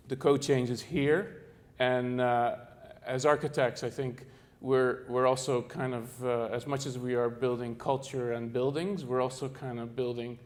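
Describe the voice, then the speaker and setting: This is a middle-aged man from the Netherlands giving a talk on architecture to an audience. His voice sits in an ordinary middle pitch. He halts often, leaning on fillers and restarting his phrases while he searches for the right words. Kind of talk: presentation to an audience.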